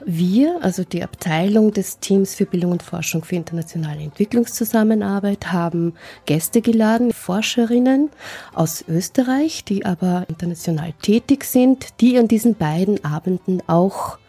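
A woman hosting a live radio talk show from a studio, introducing guests in a formal, measured way. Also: mid-range voice, warm tone, husky, no echo.

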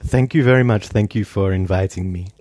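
A man, a historian answering interview questions in a thoughtful, measured way with many pauses. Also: on the low side, darkish tone, no room sound, clean studio sound. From Australia. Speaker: historian guest.

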